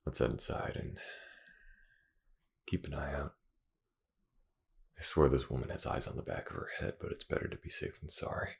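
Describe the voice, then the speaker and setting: Actor as wary, wry male detective for audio drama: extremely deep, gravelly, blunt. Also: fairly even intonation, moderate pace, pauses often, exaggerated voice. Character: gruff, skeptical, watchful male detective. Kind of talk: audio roleplay drama acting.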